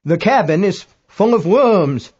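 A man's voice: high-pitched, lively intonation, measured pace, a little mellow, breathy edge, dull audio, voice pushed up, heavily acted. A man voicing a pompous, rattled amateur astronomer who speaks in stiff, pedantic, self-correcting understatement for a story. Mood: flustered, pedantic horror.

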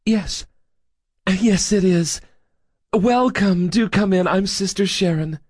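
A man voicing a gentle, hospitable woman preacher with a soothing, courteous manner. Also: high-pitched, slow, dry recording, studio quality, pitched above the performer's own voice. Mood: welcoming.

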